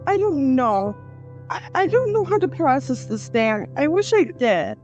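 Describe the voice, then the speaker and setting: A woman's voice: high-pitched, very animated, unhurried, darkish tone. A woman playing a hurt, angry young heroine in an audio drama, emotional and accusing. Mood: wounded, accusing. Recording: slightly dull, music underneath.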